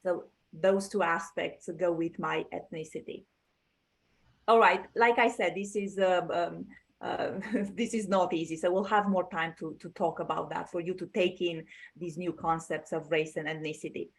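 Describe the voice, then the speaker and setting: A woman's voice: fairly low pitch, fairly even intonation, moderate pace. A woman from Romania teaching an online class over a video call, explaining patiently and checking that her students follow.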